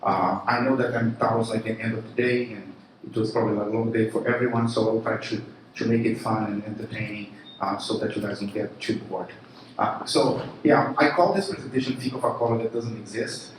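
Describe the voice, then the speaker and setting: A man's voice: on the low side, fairly quick, slight background noise. Brazilian-accented man in an echoey hall. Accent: Brazilian Portuguese. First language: Portuguese. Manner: casual, animated, lots of fillers and restarts. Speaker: conference speaker, marketing chief. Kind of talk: keynote presentation. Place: large room at a live event. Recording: echoey room from a distance.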